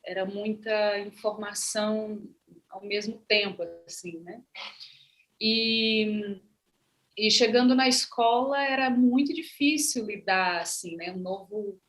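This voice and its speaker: Hesitant woman from Maranhão, Brazil. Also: mid-range voice. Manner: unhurried, hesitant, frequent "né" tags. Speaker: artist and actress, panel speaker. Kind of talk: panel talk, personal account.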